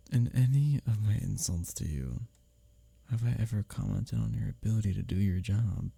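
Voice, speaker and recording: A man's voice: lowish voice, vocal fry, slightly rough, no room sound, heavily acted. A man playing a teasing, earnest male office rival who is secretly smitten, in an intimate ASMR roleplay audio drama. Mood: earnest, admiring. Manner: expressive, halting confessions with soft chuckles and sighs.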